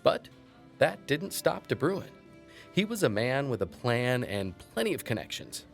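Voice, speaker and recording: A man's voice: mid-range voice, big pitch swings, measured pace, vocal fry, soft background music. A man playing a chatty small-town historian in an audio drama, telling local lore in a folksy, wry, digressive way. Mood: enthusiastic, digressive. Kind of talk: conversational storytelling in an audio drama.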